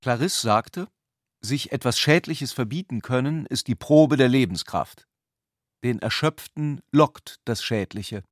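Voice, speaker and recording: A man's voice: medium pitch, animated, a little slow, no echo. Man narrating literary prose, close and dry. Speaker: narrator of a radio literary adaptation.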